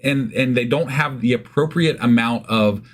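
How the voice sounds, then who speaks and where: A man's voice: medium pitch, moderate pace. A man co-hosting a casual podcast, giving opinionated commentary in an incredulous, emphatic way with casual swearing. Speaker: podcast co-host.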